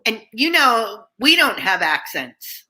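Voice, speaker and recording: Bright, sharp-voiced co-host with a New Jersey accent, quick to tease. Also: female voice, fairly high pitch, sing-song, poor audio. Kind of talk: casual chat.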